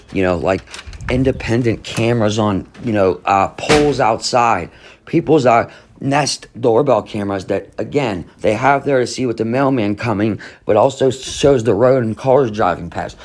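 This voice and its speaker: A man reads a crime article aloud in a somewhat low voice. He stops often to pick at it, rambling and skeptical, and hums to himself between passages. It sounds like casual true crime commentary. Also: moderate pace, darkish tone, a little hiss or hum. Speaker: true crime channel host.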